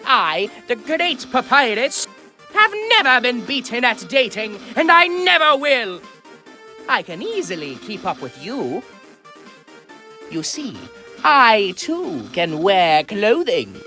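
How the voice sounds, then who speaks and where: A bombastic, lovably naive skeleton from a video game, full of himself and grandly theatrical. The pitch leaps wildly from low murmurs to high cries, while the pace stays deliberate and slow. Music plays faintly behind the voice. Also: male voice, sing-song, full character voice. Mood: boastful. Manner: grandiose, theatrical, swinging from hushed asides to loud exclamations. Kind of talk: amateur video game character dub. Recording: voice over background music.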